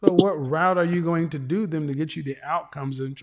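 Blunt, forceful man hosting a show. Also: on the high side, fairly quick, lo-fi sound. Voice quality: big voice. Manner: blunt, interrupting, repeats phrases. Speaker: show host. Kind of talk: live call-in show talk.

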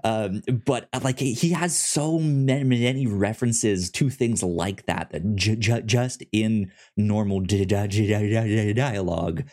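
A man co-hosting a podcast, chatting casually about comics with many halting, stammered restarts. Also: lowish voice, darkish tone. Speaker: podcast co-host.

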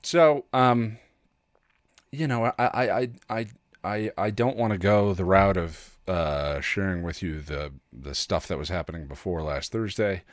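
A man with a cold talking casually and haltingly, with self-deprecating asides, as a solo podcast host. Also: sits low, no echo. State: congested with a cold.

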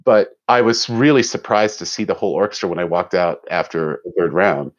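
A man's voice: sits low, animated, a little fast, dull audio. A middle-aged man from Germany, an orchestra musician, chatting casually in an interview, laughing often and restarting his sentences.

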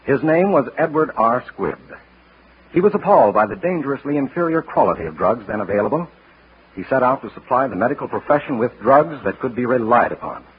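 Male vintage radio announcer, formal and measured, very husky, lively pitch, tinny old broadcast. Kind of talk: 1940s scripted radio announcing and sponsor message.

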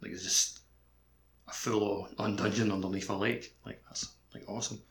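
A man's voice: on the low side, little pitch movement, moderate pace, crowd noise. A man co-hosting a podcast, chatting casually about a game in loose, colloquial phrasing. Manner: colloquial, peppered with "like". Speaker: podcast co-host. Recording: roomy, echoey microphone sound.

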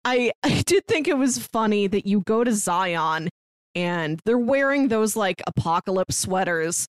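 A woman's voice: medium pitch, animated, a little slow, smooth, dry recording. A woman co-hosting a podcast, chatting in a wry, conversational way with laughing asides. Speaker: podcast co-host. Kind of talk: casual chat.

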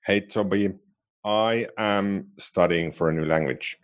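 A man's voice: low-pitched, a little slow. A man with a Finnish accent co-hosting a podcast, chatting casually and a little self-deprecatingly. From Finland. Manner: chatty, self-deprecating, restarts phrases often. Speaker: podcast co-host. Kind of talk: casual chat.

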